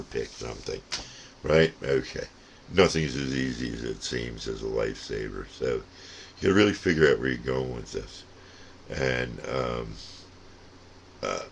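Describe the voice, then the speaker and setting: Casting: older male hobby vlogger, gravel-edged bottom-register voice, casual and digressive, repeats words for emphasis. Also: very deep voice. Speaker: CB radio hobbyist giving advice. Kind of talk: casual rambling hobby vlog monologue. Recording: noticeable steady background noise.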